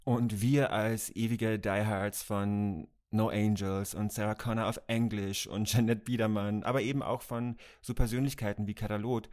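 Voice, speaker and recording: A man's voice: fairly low pitch, level tone, normal speed, few pauses, a little mellow. A man, co-hosting a podcast in a playful, mock-serious way. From Austria. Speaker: podcast co-host. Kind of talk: casual podcast chat.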